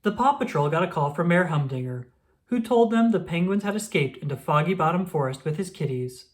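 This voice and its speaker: A woman reading a children's picture book aloud in an expressive storytelling manner. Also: on the low side, a little fast. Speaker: storybook reader.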